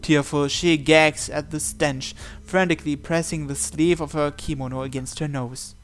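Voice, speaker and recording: A man with a slightly high voice reads a visual novel aloud. His narration is theatrical and suspenseful, with game music playing behind him. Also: steady tone, normal speed. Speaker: visual novel let's player. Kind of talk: dramatic read-aloud narration.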